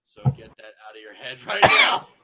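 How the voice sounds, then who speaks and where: A man's voice: mid-range voice, wildly swooping pitch, moderate pace, pushed and bright. Rambling, stammering man in casual chat. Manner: rambling, stammering, easily distracted. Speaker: story reader hosting an online group call.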